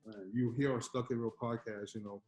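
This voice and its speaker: Man hosting a casual podcast, loose questions, mid-pitched, roomy sound. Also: steady tone, brisk, darkish tone, soft background music. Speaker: podcast host. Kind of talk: casual interview.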